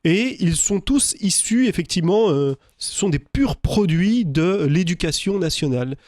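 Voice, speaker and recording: A man's voice: sits high, lively intonation, measured pace, darkish tone, no echo. A man from Lorraine, a business lawyer, answering a radio interview in a studio, speaking with many hesitations and fillers. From Lorraine, France. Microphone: studio microphone.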